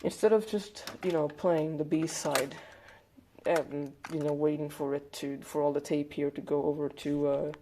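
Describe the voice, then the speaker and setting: Mellow, casual hobbyist demonstrating gear. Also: medium pitch, fairly even intonation, normal speed, a little hiss or hum. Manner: informal, explaining step by step. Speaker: hobbyist video presenter. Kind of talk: casual hands-on gadget demonstration.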